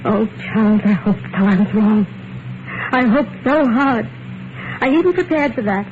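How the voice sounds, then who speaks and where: An actress playing an eerily calm, wounded and quietly vengeful wife in an old radio thriller, measured and wistful. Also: female voice, fairly high pitch. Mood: resigned, vengeful. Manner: measured, wistful, dramatic pauses. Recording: muffled, very noisy old radio recording.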